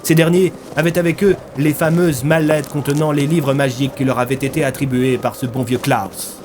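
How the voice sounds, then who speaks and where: A man's voice: medium pitch, steady tone, moderate pace, background noise. A man narrating a scripted dramatic story in a grave, theatrical way. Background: music.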